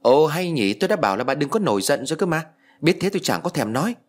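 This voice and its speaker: A man's voice: lowish voice, sing-song, fairly quick. A man voicing a gossipy, practical older village woman, chatty, insistent and a little defensive, in an audio story. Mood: miffed.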